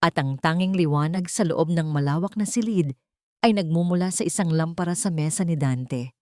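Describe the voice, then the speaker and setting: A woman narrates a scripted audiobook melodrama. Her voice sits a little low, and the recording is dry and close, with dramatic storytelling delivery. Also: dry recording.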